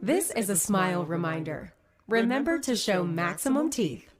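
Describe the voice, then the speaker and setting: A woman's voice: medium pitch, sing-song, moderate pace, natural voice. Woman acting a formal station announcer over music. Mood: brisk, officious. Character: polished, cheerily authoritarian female station announcer. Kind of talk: parody radio jingle and reminder.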